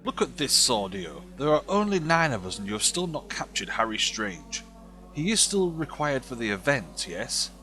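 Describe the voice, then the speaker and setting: An actor playing a stern, suspicious hard-line bishop, theatrical, emphatic and sardonic in an audio drama. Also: male voice, mid-range voice, sing-song, moderate pace, pushed and bright, faint music behind. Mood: impatient, mocking.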